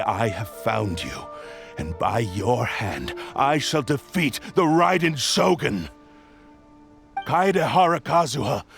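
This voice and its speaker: A man playing an arrogant, vengeful sentient sword that possesses people, speaking in a grandiose, menacing villain style for a video game. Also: fairly low pitch. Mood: cold, gloating, then furious, commanding. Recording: loud background music.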